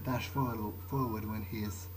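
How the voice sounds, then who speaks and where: A man's voice: fairly low pitch, fairly even intonation, moderate pace, slight background noise, poor audio. A man playing a video game in character, thinking aloud in a hesitant, musing way as he goes. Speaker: gamer roleplaying in character.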